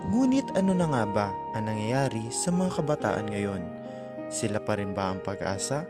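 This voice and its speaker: A man's voice: on the low side, very animated, moderate pace. A man playing a radio DJ, reading a listener's heartfelt letter as dramatic narration over background music. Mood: earnest, heartfelt. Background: clear background music.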